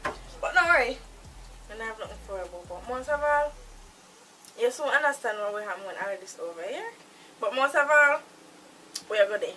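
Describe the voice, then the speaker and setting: A woman's voice: a little high, expressive, moderate pace, long pauses, distant mic, slight background noise, rough recording. A woman playing a volatile young woman who holds a man captive, speaking, menacing at times and pleading at others. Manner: threatening, shifts to pleading and shouting.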